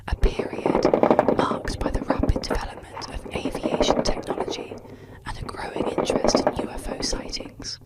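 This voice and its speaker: A woman narrating a script in a soft, breathy ASMR whisper, slow, hushed and soothing. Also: high-pitched. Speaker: ASMR host.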